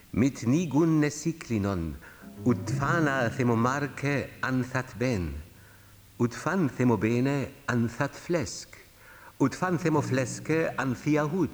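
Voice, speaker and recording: A man in a radio drama playing a solemn chanter of an ancient charm, reciting ritually and deliberately. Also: mid-range voice. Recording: long reverberant hall.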